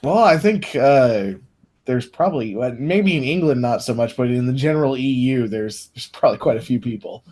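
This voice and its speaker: Laid-back man, mid pitch, hedging rambling talk. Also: moderate pace, darkish tone. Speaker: stream co-host. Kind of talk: casual group chat.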